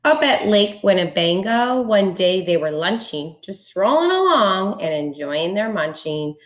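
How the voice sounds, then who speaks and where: Female read-aloud storyteller, teacher type, expressive, unhurried delivery, mid-range pitch. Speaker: schoolteacher reading aloud.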